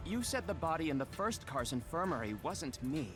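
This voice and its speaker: A man's voice: fairly high pitch, sing-song, normal speed. A man playing an eerie dead murder victim in a video game, theatrical, defensive and challenging. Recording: game music behind.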